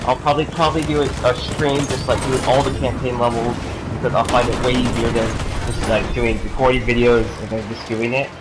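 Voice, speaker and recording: A man's voice: mid-range voice, fairly even intonation, fairly quick, very noisy. A young man with a cold, rambling through a casual gaming commentary with lots of fillers and restarts. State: has a cold. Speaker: gaming YouTuber. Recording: game sounds behind voice.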